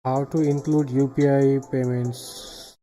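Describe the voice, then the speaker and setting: Slow, mellow man. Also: mid-range voice, level tone, faint music behind. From Bangladesh. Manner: thinking aloud, halting, trailing off into quiet asides. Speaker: live streamer building software. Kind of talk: casual live coding commentary.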